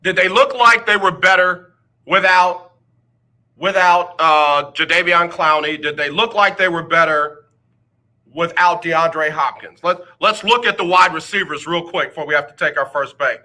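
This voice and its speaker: A man's voice: fairly high pitch, normal speed, sharp tone. A man hosting a casual sports talk radio show, teasing listeners with rhetorical questions. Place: radio studio.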